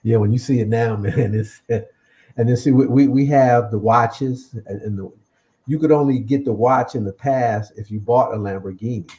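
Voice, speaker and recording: A man's voice: mid-range voice, moderate pace, dark tone. A man speaking as an interview guest, loose and conversational, often repeating his words. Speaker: guest, comic franchise creator. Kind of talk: casual interview chat.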